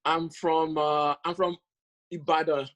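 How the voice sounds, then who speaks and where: A man's voice: a little high, flat delivery, slow pace, a bit bright. A man, chatting as a guest on a video call, talking freely with lots of hesitant fillers. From Nigeria. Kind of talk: casual video-call conversation.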